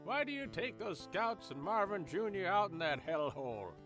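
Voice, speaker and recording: A man's voice: high-pitched, big pitch swings, normal speed, over music. A man playing a smug, corrupt small-town lawman with a folksy, taunting drawl in an audio drama. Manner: drawling, folksy, taunting, calls himself by name.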